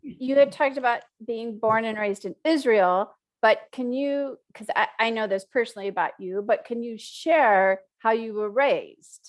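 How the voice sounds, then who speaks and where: A woman's voice: a little high, unhurried. A woman in her fifties hosting a podcast interview over a video call, asking friendly questions in front of a studio mic. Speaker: podcast host. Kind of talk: casual interview. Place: room with whiteboard. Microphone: studio microphone on video call.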